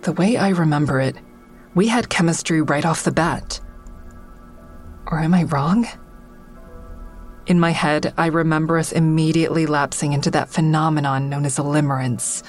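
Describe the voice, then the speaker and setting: A woman's voice: low-pitched, steady tone, normal speed, pauses often, soft background music, close to an everyday voice. An actress playing a defensive, sardonic woman comedian in an audio drama, wry and guarded, confiding her thoughts as narration. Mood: wistful, unsure. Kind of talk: full-cast audio drama acting with first-person narration.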